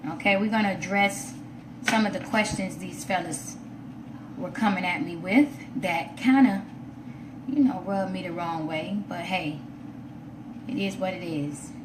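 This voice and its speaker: A woman hosting a casual live stream, chatting informally to viewers and half to herself as she sets up. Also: medium pitch. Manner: informal, chatty, talking to herself while setting up. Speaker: livestream host. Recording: roomy, distant mic with loud background noise.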